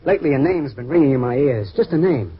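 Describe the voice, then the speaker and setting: A man's voice: mid-range voice, animated, fast, breathy edge, lo-fi sound. An actor playing a haunted, nervous retired American man in a 1940s radio drama, hesitant and pleading, on an old recording. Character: anxious, haunted retired male client, softening ex-fighter.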